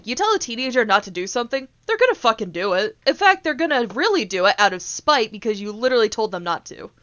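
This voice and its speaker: A woman's voice: on the high side, a bit bright, no room sound, highs cut off. A woman in her twenties ranting casually on a gaming stream, blunt, exasperated and sweary as she tells stories. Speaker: streamer, VTuber host.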